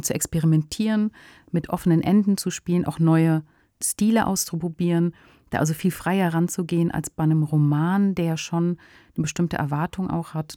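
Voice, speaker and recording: A woman's voice: lowish voice, warm tone, no room sound, studio quality. A woman co-hosting a podcast, discussing fiction in a conversational, explanatory way. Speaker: podcast co-host, fiction writer.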